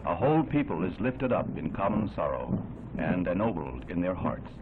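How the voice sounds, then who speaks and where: An American man narrating a 1960s newsreel in a solemn, measured, oratorical and dramatic style. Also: low-pitched, big pitch swings, very noisy. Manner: grave, measured, oratorical delivery. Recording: tinny 1960s newsreel soundtrack, band-limited. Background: music.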